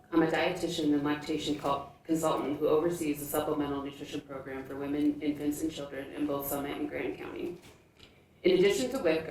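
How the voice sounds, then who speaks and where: A woman's voice: low-pitched, a little mellow. A woman giving a prepared campaign statement at a forum as a candidate, with formal, scripted delivery. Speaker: school board candidate. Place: indoor public forum room. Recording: echoey room from far off, faint noise.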